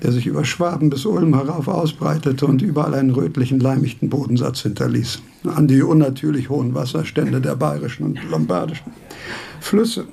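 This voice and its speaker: An actor playing a pedantic, brooding middle-aged philosopher in a radio play, speaking formal German in deliberate phrases with a husky, creaky voice. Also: male voice, medium pitch, mellow. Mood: earnest.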